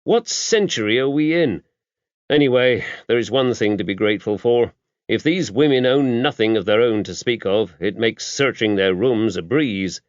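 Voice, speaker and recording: A man voicing a fastidious, wry investigator in audiobook dialogue, speaking in an emphatic, exclamatory way. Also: on the low side, expressive, dry recording, close to an everyday voice. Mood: exasperated. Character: Cotton, fastidious male investigator, incredulous and wry. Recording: dry, dull lo-fi audio.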